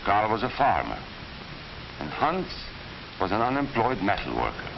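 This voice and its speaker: Earnest deep-voiced professor. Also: male voice, huge pitch swings, creaky, background noise, full character voice. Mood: grave recounting. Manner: earnest, deliberate, didactic. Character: Hungarian-born former professor warning against Nazi hatred. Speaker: narrator recounting his past. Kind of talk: 1940s educational film acting. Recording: dull 1940s film soundtrack, music behind.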